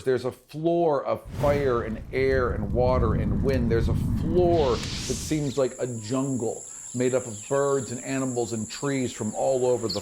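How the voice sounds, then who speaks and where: A man's voice: medium pitch, noisy background. A man working as game master, narrating a tabletop game in a descriptive, conversational way and thinking aloud as he goes.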